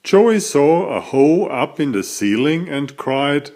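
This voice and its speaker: A man reading a children's story aloud as a narrator, in a deliberate, dramatic storytelling manner. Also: a little high, big pitch swings, darkish tone. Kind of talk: expressive scripted storybook narration.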